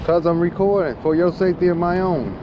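A man's voice: high-pitched, a little fast, lo-fi sound. A man in a confrontational street exchange, insistently repeating his questions and accusations as host of an on-camera confrontation. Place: large open parking lot at night. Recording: very noisy outdoor action-camera audio.

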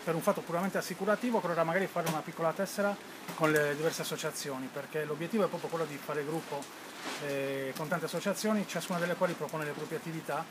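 A man's voice: on the high side, noisy background. A man in his fifties, an event organizer, fluently listing the day's activities in an interview in an outdoor tent. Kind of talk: interview answers.

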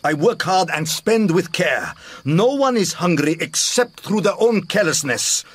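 Pompous moneyed man: very husky, highish voice, swooping delivery, indignant radio-play bluster. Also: animated, a little slow, full character voice. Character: proud, blustering, tight-fisted wealthy businessman. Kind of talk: radio drama acting.

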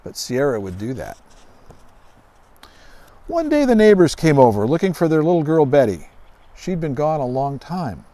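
A middle-aged man reading a children's storybook aloud outdoors in a deliberate, expressive way. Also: a little high, no echo. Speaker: guest author reading own children's book. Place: outdoors on a grassy lawn by trees.

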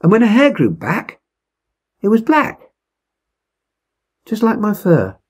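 A man in his seventies with a husky voice, reading a short story aloud expressively. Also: high-pitched, huge pitch swings, slow pace, a little mellow. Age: 70s. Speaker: story reader. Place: indoors in a wood-beamed room at home.

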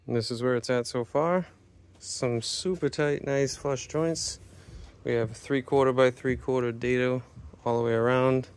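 A man casually talking through a carpentry build as he works, chatty and relaxed. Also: medium pitch, slight background noise. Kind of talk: casual build vlog narration. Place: workshop and home interior.

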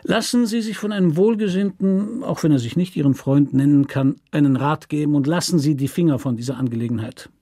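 A man's voice: a little high, a little fast, few pauses, warm tone, dry recording, clean studio sound, pitched above the performer's own voice. A man voicing a cynical politician, a state governor, condescendingly courteous and emphatic in an audiobook story.

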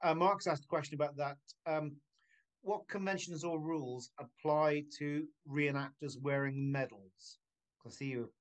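A man's voice: a little high, fairly even intonation, a little slow. A man moderating a talk on a video call, casually putting questions and comments to the speaker. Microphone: video call microphone.

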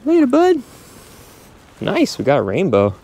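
Casual angler vlogger, man, unhurried delivery, lively pitch, recorded outdoors on a camera mic. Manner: relaxed, mutters to himself, excited bursts when a fish bites. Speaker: angler filming his fishing trip. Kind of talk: casual self-narrated fishing vlog. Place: outdoors by a brook from a small boat.

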